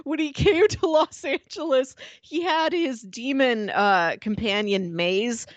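A woman's voice: sits high, slow pace, dry recording, muffled recording. A woman co-hosting a podcast, talking casually and with animation about a TV show. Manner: animated, laughs while talking. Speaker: podcast co-host.

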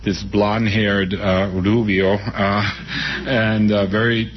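A man in his sixties from New York City speaks in a lowish voice, slowly recalling his childhood with many pauses before reading from his own book. The sound is dull and muffled, like an old radio broadcast. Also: flat delivery, slight background noise. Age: 60s. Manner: unhurried, reminiscing, with frequent pauses and fillers. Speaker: author and guest.

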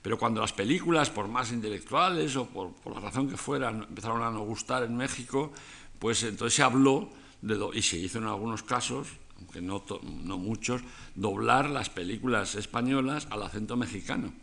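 A man's voice: on the low side, moderate pace, vocal fry, a bit bright. An older man from Spain, giving a conversational lecture on film, digressive with frequent restarts and rhetorical asides.

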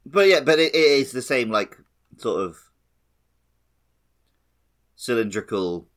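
A man's voice: mid-range voice, animated, slow pace, fairly bright tone. A man co-hosting a podcast, talking casually and explaining parts of a video game. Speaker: podcast co-host.

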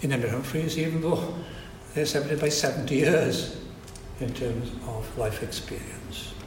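A man's voice: medium pitch, normal speed, background noise. An older man with a Welsh accent, a native Welsh speaker, giving a reflective public lecture with frequent restarts. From Wales. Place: lecture hall at a society event. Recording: echoey hall recording.

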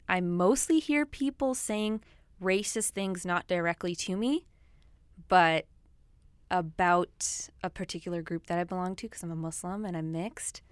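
A woman's voice: lowish voice, measured pace, clean tone, no room sound, clean studio sound. A woman who grew up speaking Swahili, talking at length in a casual film discussion, outspoken, with many fillers.

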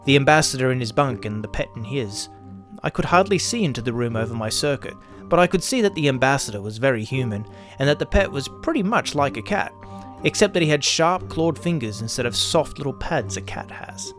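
A man's voice: medium pitch, expressive, fairly quick, fairly bright tone. A man reading a scripted first-person pulp science fiction story as narrator. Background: faint music.

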